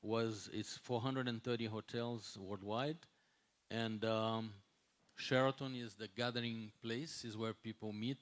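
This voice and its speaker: A man in his sixties, a non-native English speaker, gives an unscripted conference talk as a hotel executive, often pausing and repeating words as he searches for the next one. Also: on the low side, steady tone. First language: not English. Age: 60s.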